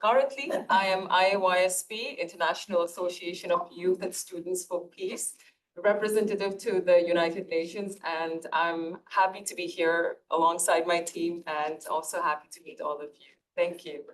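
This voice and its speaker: A woman's voice: lowish voice, normal speed, far from the mic, low-quality recording. A woman from Nepal, a representative to the UN, introducing herself in a poised, formal way. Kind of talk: panel self-introduction.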